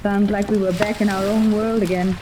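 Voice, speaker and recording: A woman's voice: mid-range voice, flat delivery, a little fast, close to an everyday voice. An actress playing a level-headed female companion in a 1930s radio adventure serial. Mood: cautioning, puzzled. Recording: distant, noisy old 1930s radio.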